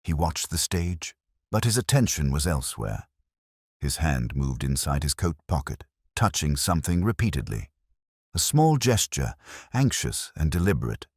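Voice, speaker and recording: A man playing a brooding, erudite middle-aged detective who narrates his case in a measured, literary, reflective way, as scripted mystery narration. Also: very deep voice, big pitch swings, slightly rough, no echo, studio quality, heavily acted. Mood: watchful, pensive.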